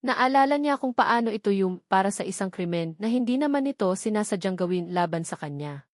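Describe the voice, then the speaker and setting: A woman's voice: medium pitch, lively intonation, a little fast, no echo, clean studio sound. A woman reading a scripted romantic drama audiobook as its narrator.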